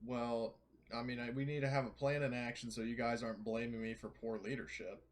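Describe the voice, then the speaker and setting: A man's voice: medium pitch, level tone, brisk. A man chatting casually as a tabletop game player, hesitant and often correcting himself.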